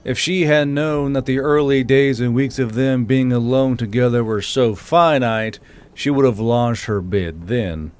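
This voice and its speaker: Mid-pitched male story narrator. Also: measured pace, darkish tone, clear, resonant tone.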